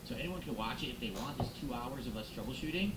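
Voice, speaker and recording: A man's voice: mid-range voice, expressive, fast. A man live-streaming on a close microphone, chatting casually with viewers, thanking them and musing aloud as he goes. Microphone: close XLR microphone. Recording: echoey room with background noise.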